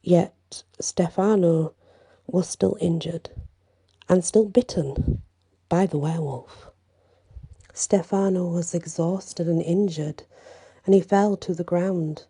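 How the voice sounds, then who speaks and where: A woman softly reading a bedtime story in ASMR style, breathy and drifting into whispers, lingering between short phrases. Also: on the low side, takes it slowly, pauses often, no echo.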